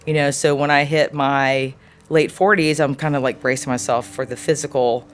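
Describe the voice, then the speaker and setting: A woman in her forties answering an interview question in a thoughtful, earnest way, often pausing to search for words. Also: a bass voice, fairly even intonation, a little hiss or hum. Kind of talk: reflective personal interview answer.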